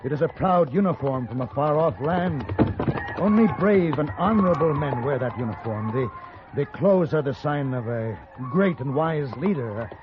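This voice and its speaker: An actor playing a tough, shrewd American gunfighter in a radio western, measured and authoritative, with a dry manner. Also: male voice, a little high, animated, close to an everyday voice. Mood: urgent, firm. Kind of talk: old-time radio western acting. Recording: muffled, noisy old radio recording.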